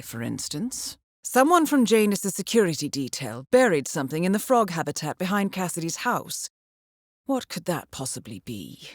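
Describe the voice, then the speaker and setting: Female mission handler, lowish voice, expressive intonation, composed, formal, dry wit, scripted video game briefing. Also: dry recording. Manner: composed, formal, clipped, dryly wry. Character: composed, dryly witty female intelligence handler guiding an assassin.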